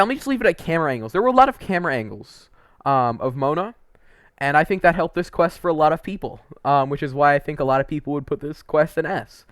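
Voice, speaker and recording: A man's voice: on the high side, no echo, studio quality. A man giving casual, opinionated game review commentary, informal and emphatic, stretching words for emphasis.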